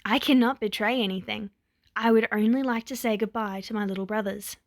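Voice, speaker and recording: A woman's voice: mid-range voice, fairly even intonation, brisk, no room sound, clean studio sound, lightly acted. A woman voicing a composed young woman held hostage, a protective big sister, polite but firm with emotion held back. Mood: quiet pleading. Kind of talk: dramatic fan fiction reading.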